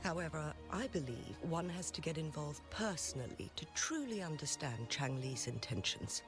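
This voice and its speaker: Brief: polite, formal town official, lively intonation, game NPC, voiced by an actor. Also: medium pitch, normal speed, over music, lightly acted. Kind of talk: game voice acting.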